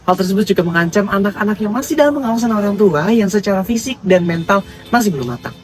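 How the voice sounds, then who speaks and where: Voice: mid-range voice, expressive, fast talker, soft background music. A student presenter reading formal narration for a presentation. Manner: read-aloud, formal.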